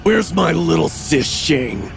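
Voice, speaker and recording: A man performs a violent, furious young brother from a horror story. He sneers and growls his threats, pitched up and swinging wildly, with music playing behind him. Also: lively intonation, slow, vocal fry, full character voice. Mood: taunting menace.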